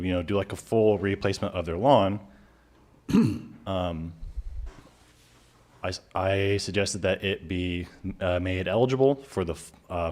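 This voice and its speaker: Low-voiced man explaining to a council. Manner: casual, hedging with "you know". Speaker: city staff member. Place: council chamber.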